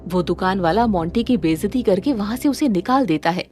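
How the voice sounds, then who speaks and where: A woman's voice: mid-range voice, animated, a little fast, dark tone. A woman reading a scripted moral story as its narrator, with music under her voice.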